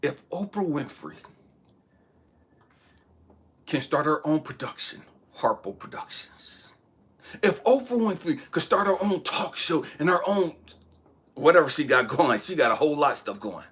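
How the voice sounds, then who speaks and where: A man giving a blunt, profane motivational talk, shouting one moment and dropping to hushed asides the next. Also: a little high, sing-song, moderate pace, slightly rough. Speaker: motivational speaker. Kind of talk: impassioned motivational monologue.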